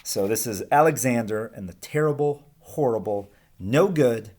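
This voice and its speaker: A man's voice: mid-range voice, moderate pace. A man hosting a children's picture-book read-aloud, speaking casually and expressively. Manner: expressive, animated reading. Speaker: read-aloud host, teacher-like reader.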